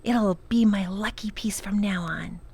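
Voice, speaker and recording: A woman voicing an earnest, imaginative young girl from a storybook, sweet, eager and excitable. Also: medium pitch, a little slow, breathy edge. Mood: surprised, fond.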